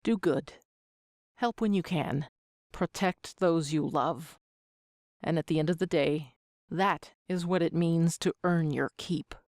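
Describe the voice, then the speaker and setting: A woman's voice: deep voice, slow, darkish tone, no echo, clean studio sound. A woman in her thirties answering interview questions as an amateur voice actor, with a joking, self-deprecating manner. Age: 30s.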